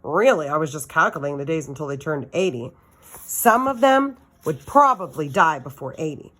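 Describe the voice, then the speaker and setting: Low-voiced woman reading a story aloud. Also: expressive, normal speed, fairly bright tone. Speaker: teacher reading aloud to students. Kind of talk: expressive children's novel read-aloud.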